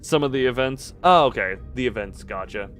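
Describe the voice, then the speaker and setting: A man's voice: medium pitch, animated, fairly quick, fairly bright tone. A man live streaming a game, chatting casually, reading dialogue aloud and breaking off into asides to chat. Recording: game music behind the voice.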